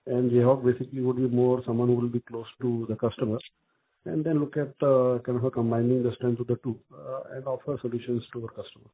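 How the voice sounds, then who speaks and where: Halting Indian male executive, muffled phone line. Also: medium pitch, flat delivery. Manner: halting, hedging with frequent repeated filler phrases. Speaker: company executive answering analyst questions. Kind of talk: earnings conference call answers. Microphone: telephone conference call.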